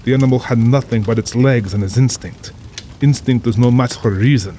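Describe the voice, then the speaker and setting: A man's voice: mid-range voice, a little fast, warm tone, slight background noise, natural voice. Man playing a suave, pompous aristocratic general, rain behind. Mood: suave, boastful. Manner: pompous, suave, amused, formal diction. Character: urbane, cold-blooded older aristocratic general, a big-game hunter. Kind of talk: dramatized audiobook acting.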